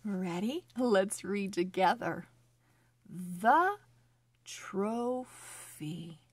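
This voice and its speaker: A woman hosting a children's read-along phonics lesson, speaking in a sing-song, encouraging way with deliberate, exaggerated enunciation. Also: medium pitch, animated, slightly rough, no echo.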